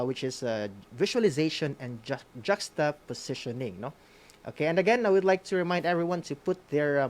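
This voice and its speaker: Lively, low-pitched Filipino woman hosting. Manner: interactive, often tags phrases with "no?". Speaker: co-host of a school-on-the-air program. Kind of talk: casual bilingual online class hosting.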